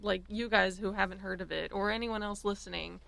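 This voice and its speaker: A woman's voice: mid-range voice, steady tone, a little fast, gravelly, a bit bright. A woman chatting casually as a panelist on a talk podcast. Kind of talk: casual chat.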